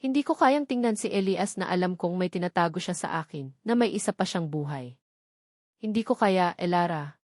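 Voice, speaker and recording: A woman's voice: fairly low pitch, lively intonation, moderate pace, a little mellow, dry recording, studio quality, natural voice. A woman voicing a betrayed, anxious but resolute pregnant wife in an emotional storybook drama. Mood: distraught. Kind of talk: dramatic audio storybook dialogue.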